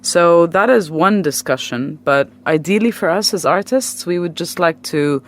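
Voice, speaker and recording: A woman's voice: lowish voice, lively intonation, moderate pace. A woman speaking as an artist guest in a casual radio interview, explaining things in an articulate, conversational way. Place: radio studio.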